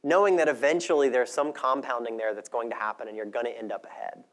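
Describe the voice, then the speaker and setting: A man's voice: mid-range voice, steady tone, fast talker. A man speaking as a conference presenter in a conversational, reflective way, both presenting and answering questions. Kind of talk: conference talk and audience Q&A.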